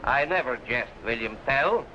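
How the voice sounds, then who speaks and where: An actor playing a cruel, imperious governor who taunts in archaic speech, in old adventure serial style. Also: male voice, mid-range voice, lively intonation, normal speed, bright, forceful tone. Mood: menacing. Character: cruel, haughty male governor, mocking and imperious tyrant. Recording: noisy old broadcast recording.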